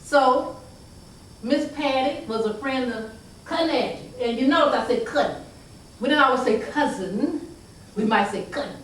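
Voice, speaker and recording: An older woman telling family stories in a folksy style to a live audience, chuckling through drawn-out asides. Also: sits high, a little hiss or hum. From North Carolina. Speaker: storyteller. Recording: echoey room heard from a distance.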